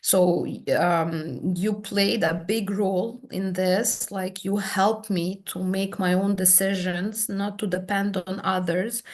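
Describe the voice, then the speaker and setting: A woman, a therapy client describing her experience in halting, searching speech full of restarts. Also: mid-range voice, steady tone. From Serbia. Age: 30s. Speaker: hypnotherapy client guest. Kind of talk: personal testimony in conversation.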